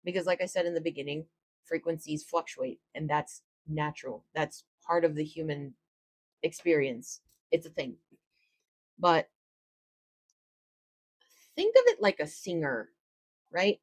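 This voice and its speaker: A woman with a low voice, a tarot reader gently explaining spiritual ideas in a casual, reflective talk to camera. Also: sing-song, measured pace, pauses often, husky, dry recording.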